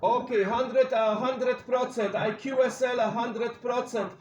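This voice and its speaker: A man's voice: extremely high pitch, fairly even intonation, measured pace, echoey room, highs cut off, slight background noise. A man from Poland with a Polish accent, a native Polish speaker working as a CB radio operator, making contact calls in short, repeated procedural phrases.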